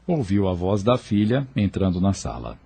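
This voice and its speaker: A man narrating an audio drama in a measured storytelling manner. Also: deep voice, sing-song, creaky, warm tone, breathy edge.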